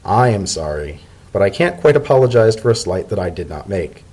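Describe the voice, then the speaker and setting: Man playing an earnest, husky pony civil servant. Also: deep voice, big pitch swings, darkish tone, heavily acted. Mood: apologetic, strained. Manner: formal, measured, sincere speech. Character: earnest, polite male pony civil servant, thoughtful diplomat.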